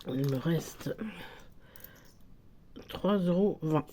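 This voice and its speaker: A woman's voice: low voice, drawn-out words, noisy background. A woman with a cold and a French accent, talking casually and hesitantly as she fills budget envelopes, with many fillers and self-corrections. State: has a cold. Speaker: budgeting vlogger. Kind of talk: casual talk while filling budget envelopes.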